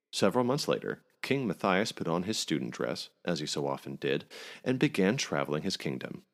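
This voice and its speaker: A man's voice: a bass voice, creaky. A man narrating a scripted folk tale aloud as a podcast storyteller.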